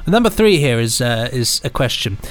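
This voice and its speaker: A man's voice: mid-range voice, sing-song, normal speed. A British man co-hosting a podcast in a chatty, jokey way, talking casually and reading out questions. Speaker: podcast co-host.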